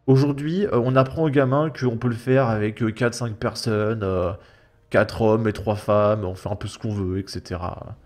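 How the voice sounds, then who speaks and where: A man's voice: lowish voice, fairly even intonation, normal speed, a little mellow. A man with a French accent giving casual, colloquial video commentary in a mocking, emphatic manner. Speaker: host of a reaction video channel. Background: background music.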